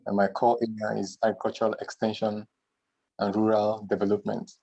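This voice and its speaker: A man from Nigeria gives a formal self-introduction as a participant. His low voice is husky and even, heard through dull meeting audio. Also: fairly quick, no echo. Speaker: agricultural extension worker, training participant.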